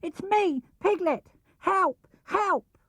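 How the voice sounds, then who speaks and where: A man's voice: very high-pitched, extremely slow, pushed and bright, no echo, studio quality, pitched above the performer's own voice, full character voice. A man voicing a small, timid, anxious young piglet in a storybook reading, with a plaintive, fretful manner. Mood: pleading. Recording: muffled old cassette or vinyl transfer.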